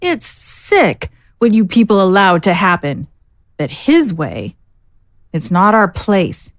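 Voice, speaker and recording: A woman's voice: medium pitch, sing-song, slow pace, no echo, clean studio sound. A woman playing a proud, principled warrior in a fantasy audio drama, firm, indignant and defiant. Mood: bitter.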